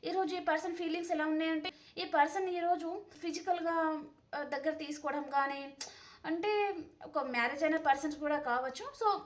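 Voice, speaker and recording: A woman's voice: sits high, moderate pace. A woman giving a conversational tarot reading straight to the viewer, often repeating herself and using tag words, with words mixed in. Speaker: tarot reader hosting a channel.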